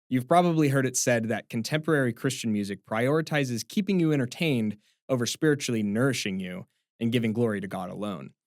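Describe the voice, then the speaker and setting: A man's voice: mid-range voice, no echo. Male host, friendly and upbeat, scripted intro into easy questions, dry sound. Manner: friendly, upbeat, quick to laugh along. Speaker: podcast host. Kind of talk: scripted intro then casual interviewing.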